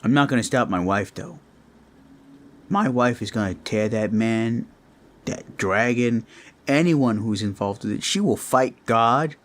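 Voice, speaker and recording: A man voicing an emotional, protective father and adventurer in an improvised fantasy roleplay, speaking in a halting, heartfelt way. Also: mid-range voice, lightly acted. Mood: fierce, proud.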